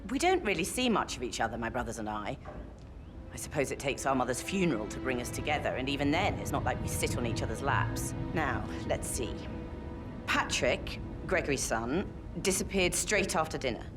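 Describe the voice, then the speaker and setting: An actress playing a brisk, gossipy upper-class British businesswoman in a video game, wry and articulate. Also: a bass voice, very animated. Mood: wry, confiding. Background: background music.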